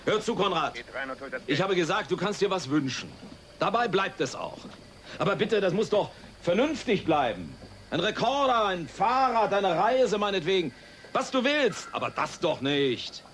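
An actor playing a blustering, hot-tempered rich developer and hunter in a radio play, condescending and emphatic. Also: male voice, sits high, big pitch swings, moderate pace, a bit bright. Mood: indignant. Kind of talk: German children's radio play acting. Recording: very noisy radio play tape.

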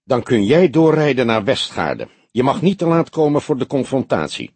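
A man voicing a shrewd, teasing old police detective in an audiobook, with a probing, deliberate way of talking. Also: mid-range voice, very animated, darkish tone, slightly rough, no room sound, pitched above the performer's own voice. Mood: shrewd, measured.

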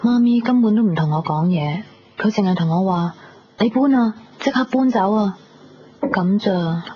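A woman's voice: mid-range voice, normal speed, husky, natural voice. An actress playing a girlish, candid, squeamish young woman in a radio drama, speaking Cantonese with a Hong Kong accent. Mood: flustered, candid. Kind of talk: 1990s radio drama dialogue, conversational. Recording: muffled 1990s radio recording, music behind.

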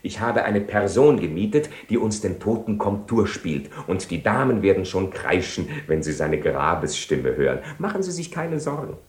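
World-weary ironic nobleman seducer, low voice, eloquent radio drama delivery, roomy 1970 studio. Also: very animated, moderate pace, hardly any pauses. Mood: scheming, mocking. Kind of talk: 1970s German-language radio play acting.

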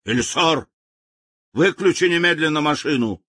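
A man's voice: fairly high pitch, lively intonation, measured pace, sharp tone, no room sound, studio quality, pitched above the performer's own voice, full character voice. A man voicing a blustering, hot-tempered general who barks out orders. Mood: furious. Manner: barking, commanding, indignant.

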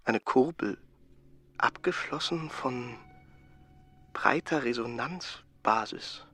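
A man playing a detached observer in an experimental radio play, listing things in deliberate, clipped fragments. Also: mid-range voice. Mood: detached cataloguing. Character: detached observer cataloguing a twittering machine's parts. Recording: loud music over the voice.

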